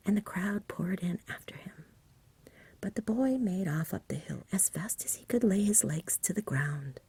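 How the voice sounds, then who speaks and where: A woman reading a story in a soft, breathy ASMR whisper, close to the microphone. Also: on the low side, dry recording.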